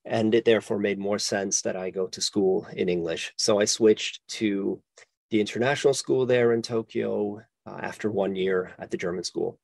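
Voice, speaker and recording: A man's voice: fairly low pitch, fairly even intonation, moderate pace, creaky, a little mellow, no echo. A man in his forties from Sweden, a guest historian talking reflectively in an interview, with many restarts and fillers. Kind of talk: casual interview conversation.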